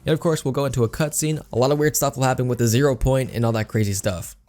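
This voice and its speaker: A man's voice: mid-range voice, steady tone, quick pace, darkish tone. A man hosting a gaming news video, explaining updates in YouTube commentary style with repeated emphasis words. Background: background music.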